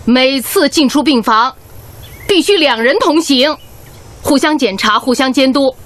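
High-pitched, commanding head nurse. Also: female voice, animated, a bit bright. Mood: stern, testing. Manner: brisk, commanding, nagging. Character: strict, caring head nurse drilling her team. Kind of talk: Chinese radio drama acting.

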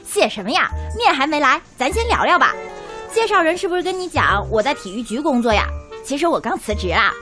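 A woman's voice: sits high, big pitch swings, brisk, pushed and bright, faint music behind, heavily acted. A woman acting a scatterbrained, outspoken young food lover in a radio drama, chatty and impulsive. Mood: chatty, upbeat. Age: young adult.

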